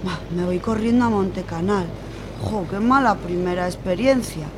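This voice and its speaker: A woman's voice: mid-range voice, moderate pace, noisy background, lightly acted. A woman playing an indignant, rattled young woman with a dramatic, colloquial manner and an accent from Spain in a comic radio serial. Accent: Spanish, from Spain. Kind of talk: comedic radio serial acting.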